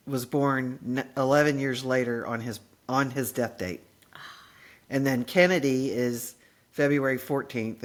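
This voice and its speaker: A woman in her late fifties, a podcast host in casual conversation, chatty and goofy, often laughing at herself. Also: a bass voice. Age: 50s.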